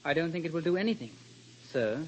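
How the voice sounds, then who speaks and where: An actor playing a sly, insolent older English schoolboy with a cool, mocking manner, in old radio drama style. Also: male voice, on the high side, expressive, a little hiss or hum, lightly acted. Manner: cool, mocking, deliberately slow to say sir. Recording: dull old radio recording with music.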